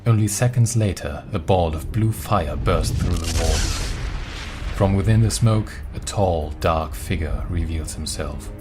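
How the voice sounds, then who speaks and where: A man's voice: sits low, measured pace. A man narrating a scripted fantasy audio drama in a dramatic storytelling style. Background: prominent music.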